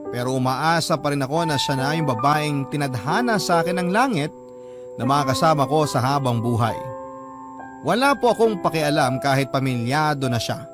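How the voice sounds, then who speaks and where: A man's voice: on the high side, animated, normal speed, over music, natural voice. An actor narrating as a lovelorn man confessing a forbidden love in an earnest, respectful letter for radio drama. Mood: hopeful, yearning.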